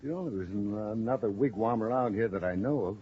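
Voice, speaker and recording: An American actor playing an affable, folksy, talkative roadhouse bartender in a 1950s radio drama. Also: male voice, lowish voice, fairly quick, husky. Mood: chatty, easygoing. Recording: dull, noisy vintage radio recording.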